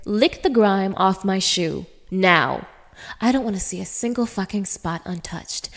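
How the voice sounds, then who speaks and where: A woman plays a haughty, mocking young woman in a scripted audio roleplay, addressing the listener with open contempt. Her mid-pitched voice has a gravelly creak as she taunts and gives orders. Long reverb surrounds every word. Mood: contemptuous, commanding. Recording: reverberant, echoing room.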